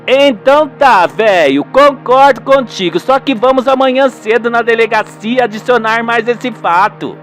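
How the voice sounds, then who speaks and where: Man putting on a high-voiced bossy country mother. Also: measured pace, few pauses, fairly bright tone, faint music behind. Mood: bossy, disapproving. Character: older rural wife and mother, bossy, fretful.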